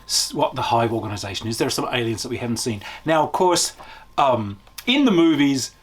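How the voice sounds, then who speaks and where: A man giving a casual, unscripted game review as a video host, talking in an enthusiastic, emphatic, conversational way with a slightly husky voice. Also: medium pitch, lively intonation, fairly quick.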